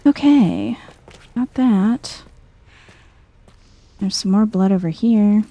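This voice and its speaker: A woman murmurs relaxed ASMR game commentary in a soft, breathy half-whisper. Her delivery is unhurried, with plenty of vocal fry and a mellow tone, as she thinks out loud while playing. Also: medium pitch, lo-fi sound. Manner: chill, murmuring, thinks aloud. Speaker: video game streamer.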